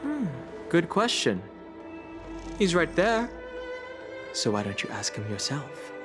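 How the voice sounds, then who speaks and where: An actor voicing a calm, knowledgeable young man who explains things in a composed way, from game audio. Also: on the high side, slow pace, exaggerated voice. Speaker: game character. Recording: game audio with music behind.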